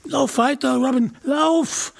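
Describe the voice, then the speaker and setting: Ein Mann spielt treuen Hünen, drängend, hohe Stimme. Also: male voice, very high voice, slow, gravelly, voice pushed up, heavily acted. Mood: pained, urgent. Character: loyal burly outlaw companion, urgent and self-sacrificing. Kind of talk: dramatised audiobook narration.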